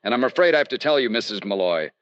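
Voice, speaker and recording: Man as a firm polite investigator, wry radio noir narration, lively intonation, dry muffled recording. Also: medium pitch, fast, dry recording, close to an everyday voice. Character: confident male insurance investigator, wry hardboiled narrator. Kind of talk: old-time radio detective acting and narration.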